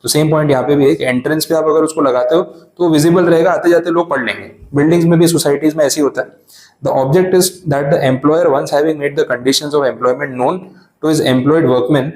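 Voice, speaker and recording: A man lecturing a class in an Indian accent, mixing in English, explaining with everyday asides and reading legal text slowly. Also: a little high, fairly even intonation, a little mellow. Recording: echoey classroom.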